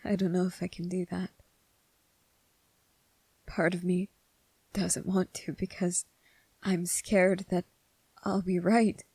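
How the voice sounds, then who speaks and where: A woman voicing a gentle, earnest young male soldier in a soft-spoken, halting ASMR roleplay. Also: lowish voice, flat delivery, husky, no echo, exaggerated voice. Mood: nervous, hushed. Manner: hesitant, halting, repeating phrases, earnest.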